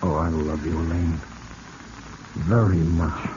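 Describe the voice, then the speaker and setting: An actor playing a smooth-talking, calculating male swindler in a 1940s radio drama. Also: deep voice, level tone, slow pace. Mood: tender, seductive. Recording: dull, noisy vintage radio recording.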